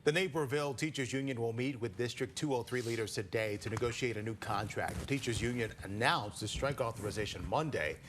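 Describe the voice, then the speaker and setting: A man's voice: medium pitch, fairly quick, hardly any pauses, fairly bright tone, a little hiss or hum. A man with an American accent anchoring a morning newscast, moving between scripted reading and brief banter. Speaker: TV news anchor.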